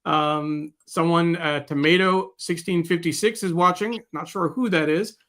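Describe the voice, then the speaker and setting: A man co-hosting a live discussion show, speaking casually in a halting, hesitant way. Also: on the high side, fairly bright tone.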